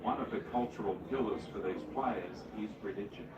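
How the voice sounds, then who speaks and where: A man narrating a television sports feature as the reporter, in a measured, informative way. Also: on the low side, reverberant, distant mic, muffled recording, heavy background noise. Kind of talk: scripted news narration.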